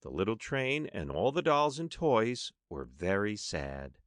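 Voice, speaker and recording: A man's voice: lowish voice, big pitch swings, measured pace, no room sound, clean studio sound. A man narrating a children's story expressively, with dramatic emphasis as an audiobook narrator.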